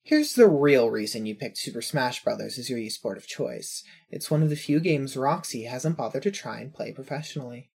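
A woman narrates scripted fiction. Her voice is low for a woman and noticeably husky. She reads as a storyteller setting out scenes and thoughts. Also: expressive, normal speed, hardly any pauses, a little mellow.

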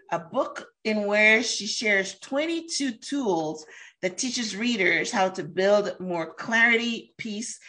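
A woman hosting an interview with a bright, forceful voice. She sounds openly excited, drops in lots of ums and you-knows, and laughs easily between thoughts. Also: medium pitch. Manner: enthusiastic, laughs often, many fillers. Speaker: host. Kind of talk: casual interview chat.

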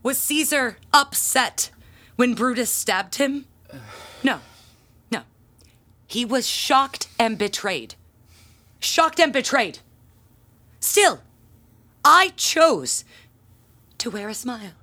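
High-voiced, melodramatic indignant churchwoman. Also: expressive, slow pace, pauses often, sharp tone, heavily acted. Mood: melodramatic outrage. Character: aggrieved, pompous church handbell ringer, a self-dramatizing woman. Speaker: parishioner in confession. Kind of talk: audio drama acting, theatrical monologue.

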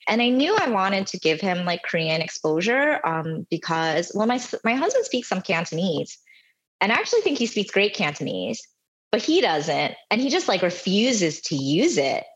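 A woman's voice: medium pitch, big pitch swings, normal speed, breathy edge, highs cut off. A woman in her thirties, a podcast guest, telling stories in a chatty, self-deprecating way, full of "like" and "you know". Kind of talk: casual conversational storytelling.

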